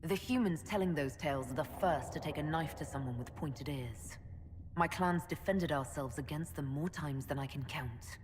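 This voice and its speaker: Elf leader for a game actress: low-leaning, matter-of-fact resolve, reverberant hall, faint noise. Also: female voice, normal speed, close to an everyday voice. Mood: guarded. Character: resolute, guarded young elf woman, leader and herald. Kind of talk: dramatic video game dialogue.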